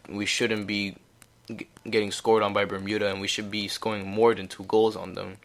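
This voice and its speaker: A man's voice: fairly low pitch, fairly bright tone. A young man casually talking through a solo sports recap, chatty and rambling with laughs. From United States. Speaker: podcast host.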